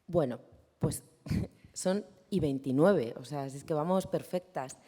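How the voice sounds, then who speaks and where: A woman moderating an assembly, summarizing the debate briskly in a conference hall. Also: deep voice, dark tone, slightly rough. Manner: brisk, organizing. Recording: reverberant hall.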